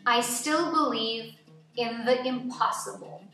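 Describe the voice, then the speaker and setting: A woman reading a children's picture book aloud as scripted narration, with measured, deliberate phrasing. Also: on the high side, bright, forceful tone. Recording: roomy echo, distant mic, background music. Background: music.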